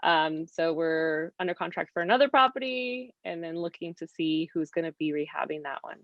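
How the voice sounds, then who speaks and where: Female interview guest from Mexico and California, lowish voice, informal storyteller, muffled recording. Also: lively intonation, moderate pace. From Mexico, later California. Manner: chatty, informal storytelling with quoted asides. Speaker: interview guest, real estate investor. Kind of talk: casual video interview chat. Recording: roomy, dull lo-fi call audio.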